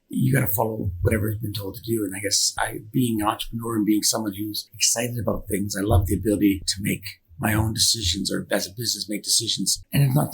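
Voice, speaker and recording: Fast-talking man, very gravelly low voice. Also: rough recording. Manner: earnest, repeats himself for emphasis. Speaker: business founder and director, podcast guest. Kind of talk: conversational interview answers. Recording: faint background noise.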